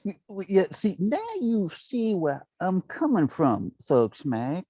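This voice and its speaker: Brief: man playing husky, dark, slow-drawling con man, dry close mic. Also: high voice, very animated, no echo, exaggerated voice. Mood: sly. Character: slick smooth-talking male financial schemer. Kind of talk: table read.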